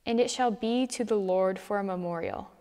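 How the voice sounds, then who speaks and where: A young woman reads scripture at a lectern in a church sanctuary, at a measured and careful pace. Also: medium pitch, warm tone. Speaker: scripture reader. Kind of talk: scripture reading. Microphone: camera at a distance. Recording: reverberant church from a distance.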